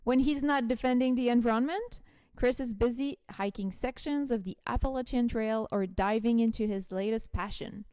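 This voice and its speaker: A woman's voice: a little high, normal speed, mellow, clean tone, no room sound. A woman from Quebec with a Québécois French accent, whose first language is French, hosting a podcast interview in a chatty, reflective way. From Quebec, Canada. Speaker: podcast host.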